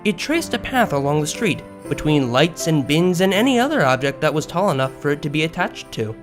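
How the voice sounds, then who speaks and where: A man reading a story aloud as an expressive narrator, in scripted prose. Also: on the high side, fairly quick. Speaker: narrator of a fan fiction story. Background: background music.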